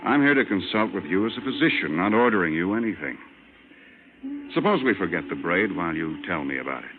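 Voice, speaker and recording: Low-voiced military psychiatrist, old radio. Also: male voice, very animated. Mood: calm, reassuring. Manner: composed, deliberate, clinical. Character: calm, measured military psychiatrist narrating a strange case. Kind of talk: 1950s radio drama narration. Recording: tinny old radio recording with music.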